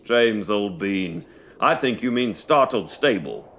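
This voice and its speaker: A man's voice: on the low side, animated, takes it slowly, fairly bright tone, heavily acted. Cocky, flippant schoolboy, acted by a man. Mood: flippant teasing. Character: cocky, teasing British schoolboy wizard. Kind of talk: audio drama acting.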